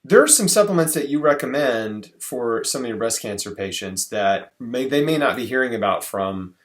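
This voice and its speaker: A man's voice: mid-range voice, expressive, normal speed. A man in his forties hosting a casual interview from a room at home over a video call. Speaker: interview host.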